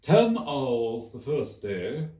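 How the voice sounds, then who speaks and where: A man voicing a mournful, touchy old turtle in a children's storybook, with drawn-out, sorrowful delivery. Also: medium pitch, sing-song, warm tone, pitched above the performer's own voice. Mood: solemn. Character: mournful, touchy old male turtle, sighing over schooldays. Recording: echoey room, distant microphone.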